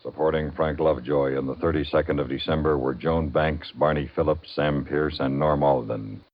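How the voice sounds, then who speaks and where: A man announcing credits in a formal, measured 1950s radio style. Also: a bass voice, steady tone. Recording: muffled 1950s radio recording.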